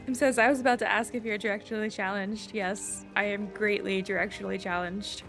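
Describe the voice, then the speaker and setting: A woman's voice: mid-range voice, fairly even intonation, brisk, fairly bright tone, faint music behind. A woman chats casually while live streaming a game, thinking aloud in a rambling, self-deprecating way.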